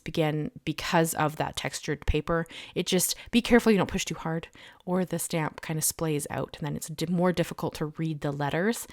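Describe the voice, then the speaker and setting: Crafting host, woman, a bit under the weather with a cold; chatty, low-energy, dry close mic. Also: no echo. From Canada. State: has a head cold and sore throat. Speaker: card-making channel host. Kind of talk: crafting tutorial voiceover.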